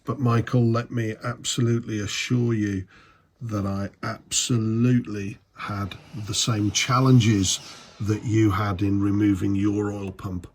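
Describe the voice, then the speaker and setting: A middle-aged man with a congested, cold-affected voice, talking through a hands-on job in a halting, explanatory way. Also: lowish voice, flat delivery. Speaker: hobbyist mechanic narrating a video. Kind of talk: casual how-to narration. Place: home garage.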